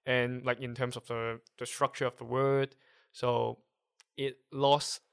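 A man from Hong Kong whose first language is Cantonese, speaking English with a Hong Kong accent as a guest, explaining things haltingly while searching for words. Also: medium pitch, little pitch movement, vocal fry, smooth. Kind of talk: casual conversation.

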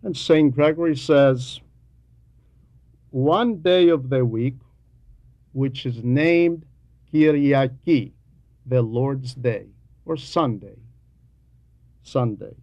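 A man preaches a homily at a slow, measured pace. His delivery is deliberate and emphatic, leaning on repeated phrases as he teaches. His voice sits at a medium pitch in a dry, close sound. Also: a little mellow, dry recording, muffled recording. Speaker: homilist reading a translated homily.

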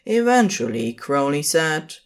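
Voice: medium pitch, big pitch swings, unhurried, clear, resonant tone. A narrator reading scripted fiction for an audio drama.

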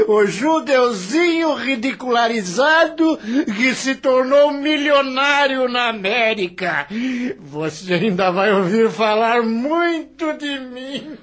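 Haughty, bitter drunkard, high-pitched voice. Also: male voice, a bit bright, heavily acted. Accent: Brazilian. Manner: haughty, scornful, theatrical. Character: bitter, boastful, hard-drinking man with grand ambitions. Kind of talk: radio drama acting.

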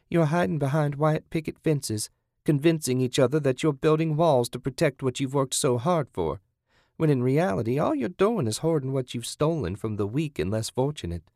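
A man's voice: medium pitch, a little mellow, dry recording, clean studio sound, voice pushed up, close to an everyday voice. A man voicing a sadistic, folksy cannibal woman in a horror audiobook, lecturing with mocking, sugary condescension.

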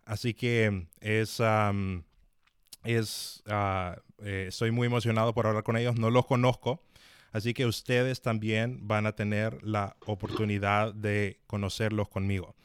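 A man's voice: fairly low pitch, level tone, a little slow, clean tone, dry recording, clean studio sound. A man hosting a live-streamed interview, talking with many fillers and restarts. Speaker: host.

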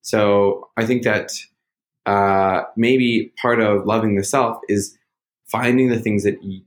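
A man's voice: fairly low pitch, steady tone, takes it slowly. A man in casual conversation, talking through his feelings in a rambling, self-searching way with lots of fillers. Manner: loud at times. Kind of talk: casual reflective chat.

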